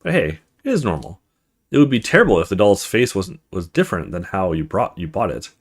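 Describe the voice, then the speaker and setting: Animated man narrating a horror visual novel. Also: low-pitched, a little fast, husky. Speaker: let's play host. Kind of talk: reading a visual novel aloud with offhand commentary.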